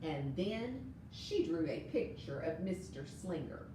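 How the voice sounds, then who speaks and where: A woman reading a children's picture book aloud as an expressive storyteller for young listeners. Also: low-pitched, takes it slowly. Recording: very echoey room, distant mic, noise.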